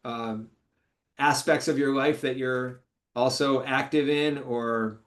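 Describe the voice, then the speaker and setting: A man hosting a podcast, asking casual interview questions in a halting way with many repeated words and fillers. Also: mid-range voice, steady tone, fairly bright tone. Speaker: podcast host.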